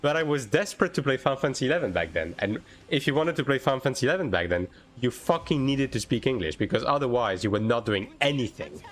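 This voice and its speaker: A man's voice: medium pitch, very animated, quick pace, few pauses. A man in his thirties from France, speaking English with a French accent in a casual chat with his live-stream viewers, often swearing and restarting his sentences. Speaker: game streamer.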